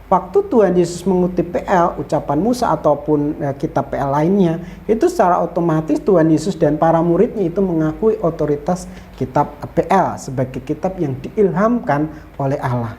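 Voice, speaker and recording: A woman teaches in an informal lecture style. Her voice sits on the low side and is soft-edged and mellow. She explains didactically, repeating points and adding small check-in tags. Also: animated, moderate pace. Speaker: Bible teacher. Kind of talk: informal lecture explaining Old Testament introduction.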